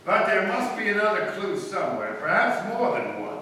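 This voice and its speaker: A man plays a confident radio-mystery detective with a fairly high male voice. He is formal, polite and decisive. He is heard from a distance in a hugely echoing, noisy hall. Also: big pitch swings, normal speed, bright, forceful tone, heavily acted. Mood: confident, brisk. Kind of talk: old-time radio mystery acting.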